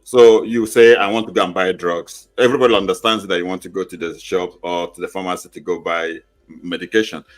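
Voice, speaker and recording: A man's voice: deep voice, steady tone, a little fast. A man, speaking on a panel, explaining his points through concrete examples. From Nigeria. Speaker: panelist, teacher of newcomer classes.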